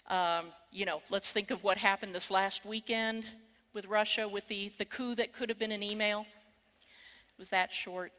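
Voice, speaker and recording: An older woman with a Texan accent delivers a keynote from a conference stage, wry and candid, swearing now and then. Her voice is bright and forward, and it rings with the long reverb of a large hall. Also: medium pitch. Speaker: keynote speaker.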